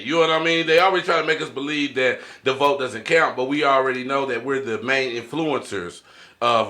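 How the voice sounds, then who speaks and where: A man's voice: medium pitch, sharp tone. An American man hosting a casual podcast discussion, dropping conversational tag phrases throughout. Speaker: podcast host.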